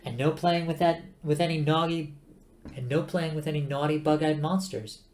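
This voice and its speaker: A man's voice: a little high, fairly even intonation, moderate pace, darkish tone, voice pushed up, lightly acted. Eddie, a man doing a nannying female ship computer. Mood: fussy. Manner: sing-song, bossy and nannying. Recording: roomy echo.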